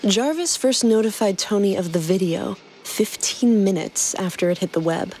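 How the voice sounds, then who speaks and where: A woman's voice: medium pitch, normal speed, darkish tone, slight background noise. A woman hosting a podcast and reading scripted fan fiction as narrator.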